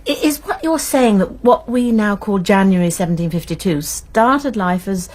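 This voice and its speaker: Radio drama actress: British female sleuth, earnest and precise, lively intonation, soft husk. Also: medium pitch, normal speed, natural voice. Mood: curious, puzzled. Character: shrewd woman amateur sleuth, methodical and earnest.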